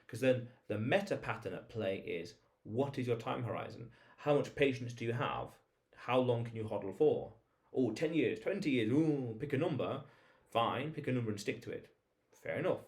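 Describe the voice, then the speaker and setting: A man with a mid-pitched voice speaks as a vlog host in an informal monologue. He explains things emphatically, often repeating phrases for weight and putting rhetorical questions to the viewer. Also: darkish tone.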